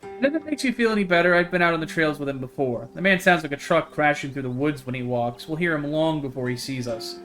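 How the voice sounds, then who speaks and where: A chatty, carefree young outdoorsy woman, voiced by a man reading a visual novel aloud. The delivery is breezy and rambling in a bright, higher register, over light music. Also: lively intonation, quick pace. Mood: reassuring. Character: chatty, carefree young woman who hikes hunting cryptids.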